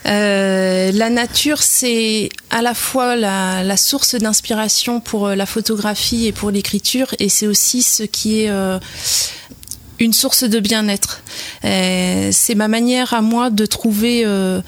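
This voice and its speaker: A woman from the Sarthe area of France, a radio guest speaking in a thoughtful, halting way. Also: mid-range voice, steady tone, no echo. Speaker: guest, artist and photographer. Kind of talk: radio interview.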